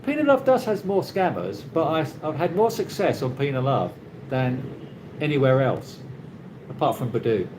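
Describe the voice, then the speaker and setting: A British man hosting a casual live chat, reading out viewer comments and answering in a rambling, halting way with many restarts. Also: medium pitch, very animated. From Britain. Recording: noisy ambient background.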